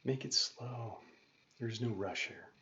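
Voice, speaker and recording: A man leading a guided meditation as a yoga teacher, calm and gentle, giving measured instructions with pauses. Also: mid-range voice. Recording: dull lo-fi recording with faint noise.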